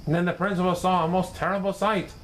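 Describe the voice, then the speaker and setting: A man reading a rhyming children's story aloud in a theatrical, animated way, as a storyteller. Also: high-pitched, fast, a little hiss or hum. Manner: theatrical, acts out characters.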